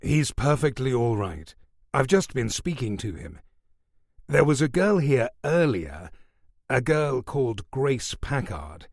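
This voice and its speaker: Narrator as a calm male detective: level, matter-of-fact. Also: medium pitch, takes it slowly, no room sound, clean studio sound, heavily acted.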